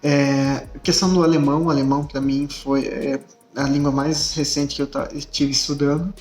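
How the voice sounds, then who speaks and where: A man's voice: on the high side, little pitch movement, normal speed, a little mellow. Hesitant young man, echoey room. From Brazil. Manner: hesitant, many filler "é" and restarts. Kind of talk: casual self-introduction to camera. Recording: echoey room with faint music.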